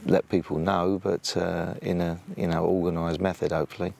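An English man in his sixties with a booming voice, speaking plainly with many ums and restarts as an assistant football coach in a sit-down interview outdoors by a training pitch. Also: level tone, moderate pace, gravelly, darkish tone. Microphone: off-camera mic, no lapel.

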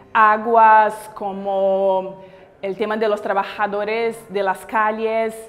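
A woman in her thirties from Brazil, a native Portuguese speaker with a Brazilian accent, giving earnest, deliberate interview answers as a city councillor. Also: on the high side. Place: large bright indoor hall. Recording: echoey hall, music behind.

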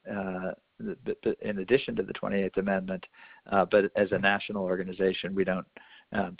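Low-voiced man on a tinny phone line. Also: gravelly, no room sound. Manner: halting, repeats words. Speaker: host of a national activist conference call. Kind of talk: hesitant explanatory talk on a conference call. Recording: muffled, narrow telephone conference line.

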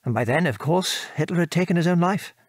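A man's voice: on the high side, animated, no echo, clean studio sound. An actor with a British accent playing a former RAF airman and father, frankly recounting his war service in an articulate, old-fashioned way for an audio drama. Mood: wry reminiscing. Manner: articulate, measured storytelling with old-fashioned British turns of phrase. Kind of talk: conversational audio drama reminiscence.

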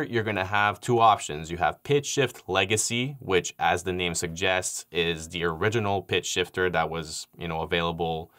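A young man explaining audio editing software in a relaxed tutorial, with frequent fillers, recorded on a studio microphone in a dark studio. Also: sits low. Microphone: studio microphone on stand.

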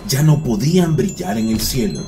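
A man reading scripted narration for a children's animated story. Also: mid-range voice, moderate pace. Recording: music behind the voice. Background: music.

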